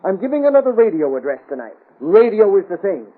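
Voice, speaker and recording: A man's voice: high voice, sing-song, quick pace. A man acting a smug, sneering crooked official who orates pompously in an old radio drama. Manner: declamatory, pompous. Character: smug, sneering crooked city official and rabble-rousing orator. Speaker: actor. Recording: tinny, narrow-band old radio recording.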